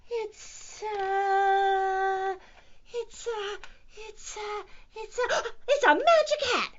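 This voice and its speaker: A woman voicing a shy, silly little male cat in a storybook, with a squeaky, hesitant stammer. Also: very slow, highs cut off, heavily acted. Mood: flustered.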